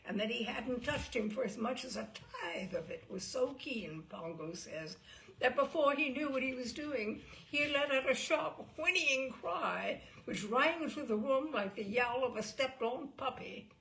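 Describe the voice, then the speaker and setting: A woman reading a comic story aloud in an expressive, droll, theatrical narrating style. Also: high-pitched, unhurried, vocal fry, rough recording. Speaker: story reader.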